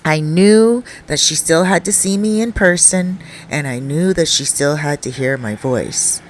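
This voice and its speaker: A narrator gives voice to a lonely, shy young man telling his own story. He rambles through his confession and pauses often between sentences. The pitch is middling. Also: slight background noise, natural voice. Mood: confessional. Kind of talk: reading a first-person confession story.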